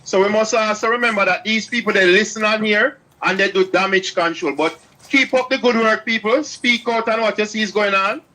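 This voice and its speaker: A man's voice: high-pitched, a little fast, sharp tone, rough recording. A man, a guest on a live stream, speaking in an urgent, cautionary way. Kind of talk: casual live-stream chat.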